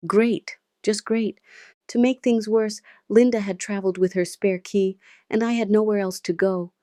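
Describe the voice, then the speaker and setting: A woman's voice: mid-range voice, steady tone, moderate pace, warm tone, dry recording, studio quality. A woman with a Nigerian accent telling a dramatic first-person story as its narrator.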